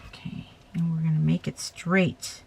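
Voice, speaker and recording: Woman host of a home craft tutorial, chatty and self-correcting, a bit hoarse, lower voice, faint background hiss. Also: flat delivery, measured pace, a little mellow. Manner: chatty, talks while working, self-correcting. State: slightly hoarse, coming down with a cold. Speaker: paper craft demonstrator.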